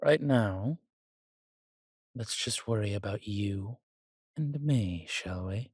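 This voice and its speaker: Man voicing a husky, courtly vampire seducer. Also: fairly low pitch, expressive, slow pace, dry recording, studio quality, full character voice. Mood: indulgent, teasing. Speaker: voice actor in an audio drama. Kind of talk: intimate romantic audio roleplay addressed to the listener.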